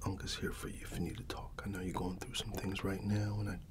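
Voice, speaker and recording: A middle-aged American man speaking softly and often in a whisper, in a casual, avuncular ASMR roleplay as a barber. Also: low-pitched, little pitch movement. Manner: unhurried, casual, avuncular reassurance. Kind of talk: soft-spoken ASMR personal attention roleplay.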